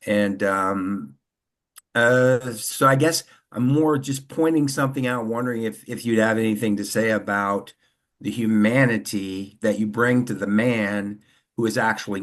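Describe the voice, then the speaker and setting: Lowish guy on a video call, kinda rambly, circles around his point. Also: fairly even intonation, a little slow. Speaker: discussion group participant. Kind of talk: casual discussion talk.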